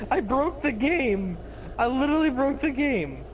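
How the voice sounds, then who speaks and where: A man with a slight lisp talks over his game in a high, bouncing voice that leaps around as he yelps and curses. Game noise runs clearly under him on the voice call. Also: a little slow, highs cut off. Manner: excitable, yelping and cursing. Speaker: gamer. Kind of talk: excited gameplay chat.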